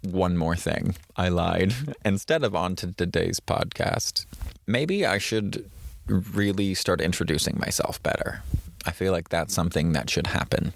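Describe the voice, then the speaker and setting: A man's voice: deep voice, unhurried, gravelly, slight background noise. A man from the Midwest hosting a solo podcast, talking in a casual, reflective way with fillers and restarts. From American Midwest. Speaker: podcast host.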